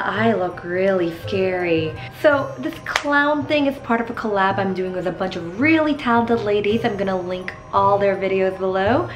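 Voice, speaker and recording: A young woman from Indonesia with a hoarse voice, talking through a makeup tutorial step by step in a chatty, instructive voiceover. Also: mid-range voice. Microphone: close voiceover mic.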